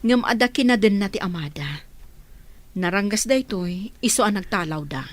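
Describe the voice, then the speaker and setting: A woman's voice: medium pitch, lively intonation, normal speed, close to an everyday voice. An actress playing a caring, earnest woman who helps orphans, asking concerned questions in an audio drama. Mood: earnest, worried.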